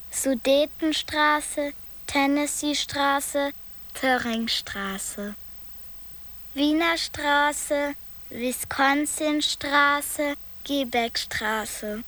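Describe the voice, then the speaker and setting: Woman reciting a list, very slow and deliberate, high voice, bright and clear.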